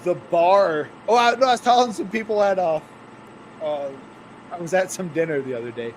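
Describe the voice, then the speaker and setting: A man speaks in a fairly high voice, swearing and laughing as he tells road stories. Faint background noise sits under the recording. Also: moderate pace. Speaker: podcast co-host and wrestler. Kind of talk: casual profane chat.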